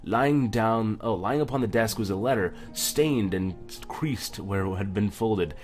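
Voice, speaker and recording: Low-voiced man hosting a gaming video. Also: a little slow, darkish tone. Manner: informal, stumbles when reading aloud. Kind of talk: casual let's play commentary and reading aloud. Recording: music behind the voice.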